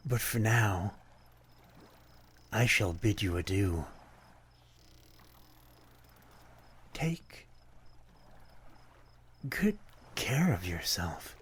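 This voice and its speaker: Man acting as a deep, unhurried, melancholy vampire. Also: big pitch swings, husky, full character voice. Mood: gentle farewell. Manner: formal, archaic, poetic phrasing, gentle and brooding. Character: lonely, courteous, melancholy male vampire stranger by a harbor. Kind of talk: ASMR roleplay, slow theatrical monologue.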